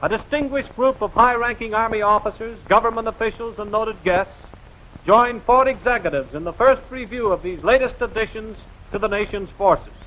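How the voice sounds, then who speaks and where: A man's voice: high-pitched, normal speed, a bit bright, a little hiss or hum, low-quality recording. An American man narrating a scripted 1940s newsreel in a formal, emphatic, rousing announcer's delivery, on a vintage film soundtrack.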